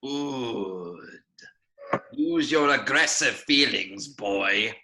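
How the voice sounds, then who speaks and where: A man's voice: medium pitch, lively intonation, very slow, pushed and bright, exaggerated voice. A man playing an evil old emperor who gloats and goads, in a table read of a film script. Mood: gloating, goading. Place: home, video call.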